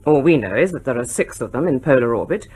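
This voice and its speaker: Husky, guarded government official. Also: female voice, a bass voice, animated, fast, darkish tone, exaggerated voice. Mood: probing, serious. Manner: reserved, firm, correcting. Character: guarded, sensible female British government official. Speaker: actor in a radio drama. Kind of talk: British radio drama acting.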